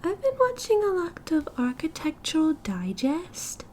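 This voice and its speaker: A woman's voice: very high voice, huge pitch swings, darkish tone, a little hiss or hum, exaggerated voice. A British woman playing a cheerful, oblivious and possessive yandere girlfriend in an ASMR roleplay, with a breezy, giggly, dramatic manner. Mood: chatty. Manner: giggly, breezy, dramatic, chatty with drawn-out casual delivery.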